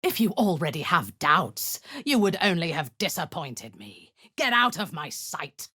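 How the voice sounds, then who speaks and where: A woman playing a cruel, haughty drow cult commander in a video game, with a breathy, husky voice and a commanding, menacing manner. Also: deep voice, sing-song, a little slow, sharp tone, heavily acted. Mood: contemptuous, threatening. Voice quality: breathy, husky, often dropping to a whisper. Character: imperious, cruel female drow cult commander, seductive and zealous.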